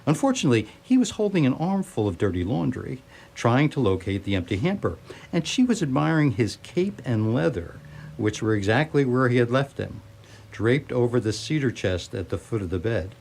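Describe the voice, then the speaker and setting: A man's voice: mid-range voice, very animated, moderate pace, creaky, a little mellow, a little hiss or hum. A man narrating a scripted radio theatre comedy as a wry, playful storyteller.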